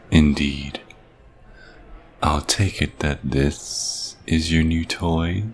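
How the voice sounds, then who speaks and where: A man's voice: a bass voice, steady tone, very slow, long pauses, a little mellow, slightly rough, exaggerated voice. A man playing a haughty, suave vampire king in an ASMR roleplay, drawling with mocking courtesy.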